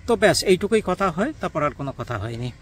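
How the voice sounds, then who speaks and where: A man's voice: sits high, sing-song, fast, husky. A man with a West Bengal Bengali accent casually explaining events to viewers as a video host. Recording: faint background noise.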